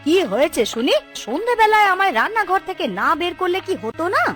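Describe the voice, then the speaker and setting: A woman's voice: very high voice, very animated, a little fast, a bit bright, soft background music, heavily acted. A woman voicing a stern, bossy elder wife in a cartoon folk tale, with a scolding, commanding manner. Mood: angry scolding.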